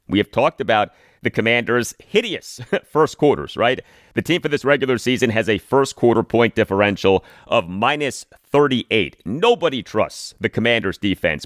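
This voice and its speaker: Low-voiced man hosting a sports podcast, dry sound. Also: fairly bright tone, no echo. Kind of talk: scripted sports commentary and predictions.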